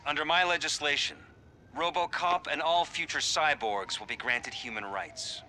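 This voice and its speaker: A man's voice: a little high, normal speed, pushed and bright, slight background noise. A man playing a politician in a video game's campaign ad, declaiming promises like a stump speech. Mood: assertive. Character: forceful male politician making a campaign promise.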